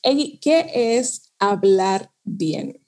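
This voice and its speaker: Casting: Puerto Rican woman host, slightly high voice, open and easy-laughing. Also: animated, very slow, dark tone. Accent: Puerto Rican Spanish. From Puerto Rico. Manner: candid, laughs often. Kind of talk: podcast conversation.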